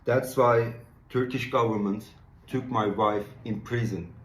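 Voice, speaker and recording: A man in his twenties with an Iranian accent makes an earnest, halting appeal for support. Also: fairly low pitch, fairly even intonation. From Iran. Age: 20s. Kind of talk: appeal in a social media video. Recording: echoey room.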